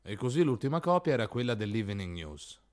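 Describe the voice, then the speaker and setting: A man's voice: lowish voice, big pitch swings, brisk, a little mellow. A man acting in an absurdist radio play as an inquisitive, literal-minded tea stall man, questioning and repetitive. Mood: puzzled, inquisitive. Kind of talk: absurdist radio drama dialogue.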